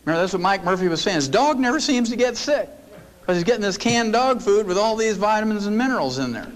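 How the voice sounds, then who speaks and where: A man's voice: sits high, animated, quick pace, rough recording. An older man giving a folksy, joking seminar talk to an audience in a meeting room. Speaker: lecturer.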